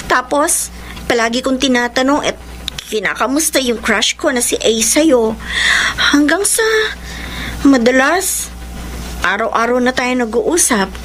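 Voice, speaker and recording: A young Filipino woman in a radio drama, hopelessly in love and nervous about it. Her high voice is emotional and hesitant, with faint noise behind it. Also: fairly bright tone. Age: young adult. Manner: emotional, hesitant, Tagalog-English mixing. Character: lovestruck, anxious 21-year-old woman confessing to her best friend.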